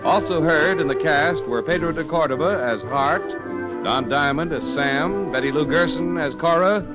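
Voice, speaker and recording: A male radio announcer reading ads and credits in a smooth, salesmanlike manner. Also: fairly high pitch, normal speed. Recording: dull old radio broadcast with music.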